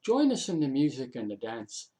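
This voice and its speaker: Male storyteller as young fairy gentleman: courtly, gentle, inviting, relaxed mellow tone, folk tale. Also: mid-range voice, moderate pace. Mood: reassuring, inviting. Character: gallant young fairy man, reassuring and inviting.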